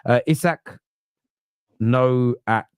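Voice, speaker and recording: A man hosting a football podcast, casual chat that keeps correcting itself and counting stats out loud. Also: mid-range voice, clear, resonant tone, no echo, studio quality. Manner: rapid, self-correcting, counts stats aloud. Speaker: podcast host.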